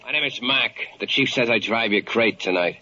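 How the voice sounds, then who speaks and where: Brash grumbling ambulance driver, muffled radio. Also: male voice, medium pitch, moderate pace, slight background noise. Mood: wisecracking. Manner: slangy, scoffing, grumbling tough talk. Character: bitter, wisecracking male ambulance driver, brash and impatient. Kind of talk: old-time radio drama acting. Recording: dull old radio recording, loud music.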